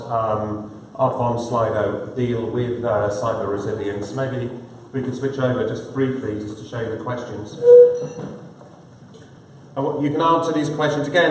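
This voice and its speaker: A man's voice: medium pitch, slight background noise. Man moderating in an echoey hall. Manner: loose, hesitant with many fillers. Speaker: conference moderator. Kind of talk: live conference moderating. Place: large conference hall. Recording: echoey hall, distant mic.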